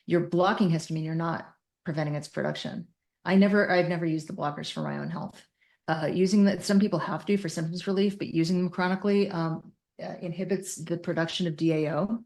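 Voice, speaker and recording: Woman w a low voice, interview guest, clinician type explaining stuff, technical and emphatic. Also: gravelly, a little mellow. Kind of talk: explanatory interview talk from personal and clinical experience.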